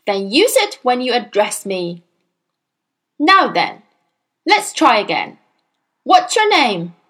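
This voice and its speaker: A woman's voice: a little high, big pitch swings, takes it slowly, a bit bright, exaggerated voice. A woman voicing a furious, bullying headmistress who barks and bellows her orders while reading a storybook aloud. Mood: bellowing. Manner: bellowing, contemptuous, barking orders.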